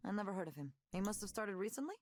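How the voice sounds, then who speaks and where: A woman's voice: mid-range voice, very animated, rapid-fire, a little mellow, lightly acted. An actress playing a teasing, blunt young woman chatting casually with a close friend in a visual novel game. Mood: playful teasing. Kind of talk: naturalistic visual novel voice acting.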